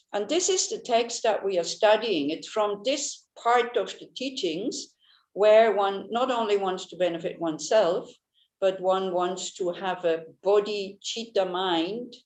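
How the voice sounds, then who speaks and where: Swiss german lady teaching dharma, gentle, searches for words, medium pitch. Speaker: Buddhist teacher. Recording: echoey room.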